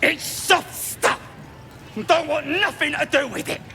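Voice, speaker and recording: A man playing a dim, chatty goblin looter in a video game, with a croaky voice and an English working-class accent. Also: very high voice, a little slow, sharp tone, slight background noise, heavily acted. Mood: bashful, blustering. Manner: chatty, uneducated. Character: dim, cheeky goblin looter with a secret soft side. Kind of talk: video game character acting.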